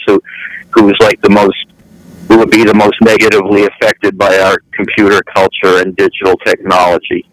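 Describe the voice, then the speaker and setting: Halting low-voiced man calling in. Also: a bit bright, dry recording, poor audio. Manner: halting, many restarts, self-deprecating. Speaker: guest, novelist. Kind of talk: casual remote chat. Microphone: calling in remotely, hard to hear others. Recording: radio talk show.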